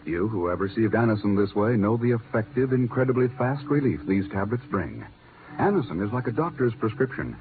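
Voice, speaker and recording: A man's voice: lowish voice, sing-song, a little fast, noisy background. Muffled 1950s radio announcer, male.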